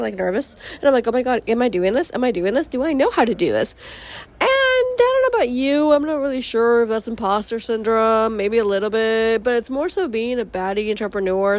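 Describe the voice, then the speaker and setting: A young woman hosting her own vlog and podcast, bubbly and slangy, with a slightly strained voice that is still recovering, swooping between excited highs and low mutters. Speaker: vlogger and podcast host. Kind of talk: casual upbeat vlog talk.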